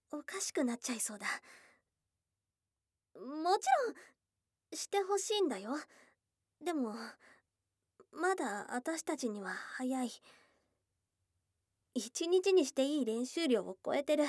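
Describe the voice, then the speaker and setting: A shy young goblin girl, played by a woman, speaks in a high voice at a slow, halting pace. She is tomboyish but smitten and easily flustered, murmuring softly in an ASMR drama. The sound is very dry and close to the microphone. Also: no echo, exaggerated voice. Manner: hesitant, bashful, halting, with soft murmurs. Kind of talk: intimate ASMR situation voice drama. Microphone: close studio microphone, dry.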